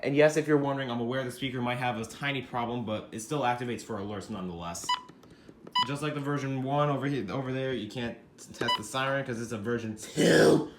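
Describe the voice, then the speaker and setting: A man hosting a casual home video about his radio collection, talking in a loose, rambling way with plenty of swearing and sudden loud outbursts at himself. His voice sits at an ordinary pitch. The room is echoey, with a steady noisy background. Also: fairly quick. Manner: rambling, self-deprecating, swears freely, bursts into shouting. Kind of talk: casual show-and-tell vlog.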